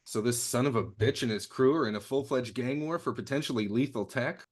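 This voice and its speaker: A man's voice: mid-range voice, a little fast, close to an everyday voice. A man playing a brash, streetwise crew leader in a comedy table read. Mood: exasperated, scheming. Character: brash streetwise male crew leader recruiting his old gang. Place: remote video call.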